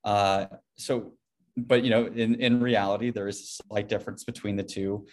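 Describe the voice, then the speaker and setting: A man's voice: on the low side, steady tone, normal speed, darkish tone. A man speaking as a podcast guest in a casual interview, rambling a bit and often hedging with "you know". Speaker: podcast guest, Bitcoin company CEO.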